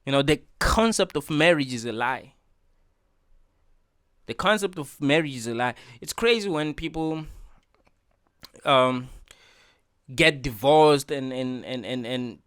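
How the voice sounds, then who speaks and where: A man's voice: mid-range voice, a bit bright, clean tone, no echo, clean studio sound. A man hosting a reaction video, talking in an earnest, preachy way and repeating his points with lots of filler words. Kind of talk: casual opinion monologue.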